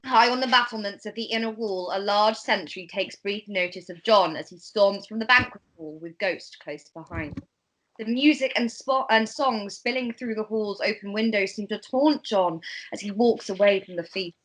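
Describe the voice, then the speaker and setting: Casting: female narrator, medium pitch, reads screenplay action lines in an amateur table read. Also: normal speed, low-quality recording. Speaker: narrator of stage directions.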